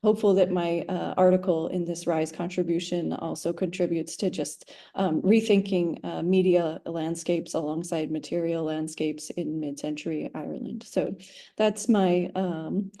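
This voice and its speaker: Mellow-voiced woman giving an academic talk. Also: lowish voice, steady tone, moderate pace, gravelly. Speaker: academic presenter.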